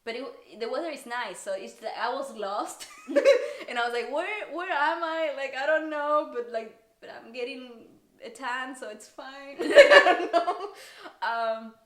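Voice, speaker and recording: A woman's voice: on the high side, lively intonation, moderate pace, fairly bright tone. A woman, chatting as a comedian guest on a podcast and laughing often in a rambling, self-mocking way. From Argentina. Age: 30s. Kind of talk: casual podcast chat. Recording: echoey room.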